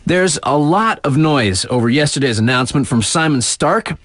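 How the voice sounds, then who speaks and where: A man's voice: a little high, animated, moderate pace, a bit bright, no echo, clean studio sound. A man playing a cool, cheeky young radio DJ in a video game, delivering conversational sports-circuit patter with laid-back hype and teasing asides. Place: dry studio booth.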